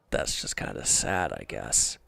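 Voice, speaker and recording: Man acting as a slow, gravelly, brooding villain. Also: rumbling low, pushed and bright, exaggerated voice. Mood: defensive, sullen. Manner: brooding, halting, curt. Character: wounded, defeated male villain, proud, sullen, guardedly vulnerable. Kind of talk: intimate audio roleplay drama.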